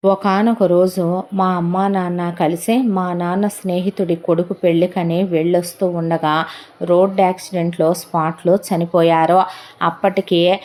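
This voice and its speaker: A middle-aged uncle speaks low and hushed, weighed down by regret, as a woman narrator plays him. His voice is mellow and dark, and he tells his story slowly. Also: little pitch movement, natural voice. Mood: sorrowful. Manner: slow, heavy storytelling.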